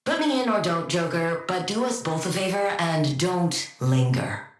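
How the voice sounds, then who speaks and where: An actress playing a brilliant, haughty scientist supervillain in a video game, speaking in precise terms with a dry, deadpan, sardonic edge. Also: female voice, on the low side, measured pace, roomy sound.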